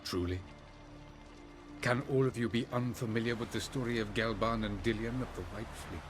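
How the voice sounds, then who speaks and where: An actor playing a learned, aloof male elf sage who explains old legends in a measured, slightly condescending way. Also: medium pitch, fairly even intonation, music in the background, lightly acted. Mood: lecturing. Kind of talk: video game cutscene acting.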